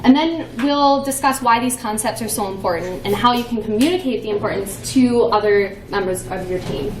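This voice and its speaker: A woman's voice: fairly high pitch, normal speed, background noise. Playful young woman presenting in an echoey room. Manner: playful, chatty with many fillers. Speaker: conference presenter. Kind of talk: conference talk. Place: conference room.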